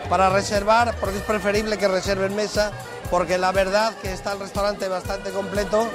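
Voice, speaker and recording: A man's voice: sits high, level tone, a little fast, a loud crowd. A middle-aged man from Zamora, a restaurant manager, explaining his busy terrace restaurant to camera on a lapel mic. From Zamora, Spain. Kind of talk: guided tour talk. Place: busy restaurant terrace under a tent at night. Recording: background music under the voice.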